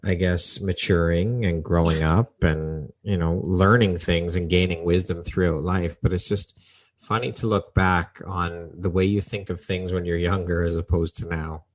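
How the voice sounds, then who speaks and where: Very deep-voiced woman podcast host. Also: fairly even intonation, moderate pace. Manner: affirming, encouraging, frequent backchannels. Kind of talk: interview questions and chat.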